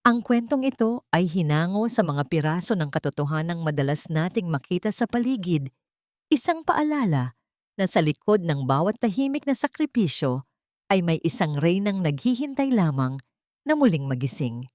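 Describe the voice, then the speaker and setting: A woman reads a scripted dramatic story as an audiobook narrator, in an earnest, sentimental and measured way. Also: lowish voice, sing-song, a little mellow, dry recording, studio quality.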